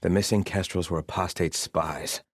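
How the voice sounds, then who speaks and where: A wary soldier of a sun-worshipping army for a video game. His voice is low, the delivery stern and formal, with clipped phrases, recorded very dry and close. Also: fairly even intonation, normal speed, no room sound. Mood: wary, discontented. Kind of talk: scripted video game voice lines.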